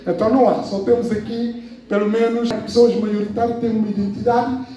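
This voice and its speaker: A man's voice: high voice, little pitch movement, a little fast, warm tone. Guinea-Bissau-accented man preaching in an echoey hall. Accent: Guinea-Bissau Portuguese. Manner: digressive, with frequent "pronto" and repeated phrases. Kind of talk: sermon. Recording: very echoey room, voice from far off.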